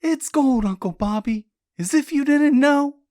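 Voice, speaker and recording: A woman's voice: high voice, lively intonation, measured pace, warm tone, no echo, studio quality, voice pushed up. A woman voicing a furious, grief-stricken young woman who accuses with venom in an audiobook drama. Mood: venomous anger. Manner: venomous, hysterical, emphatic.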